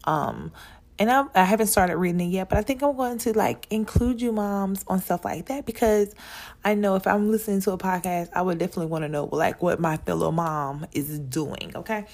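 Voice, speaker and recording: A woman's voice: on the low side, fairly quick, a little mellow. A woman of thirty hosting a podcast, talking in a chatty, rambling way straight to other moms. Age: 30s. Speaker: podcast host. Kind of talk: casual solo podcast talk.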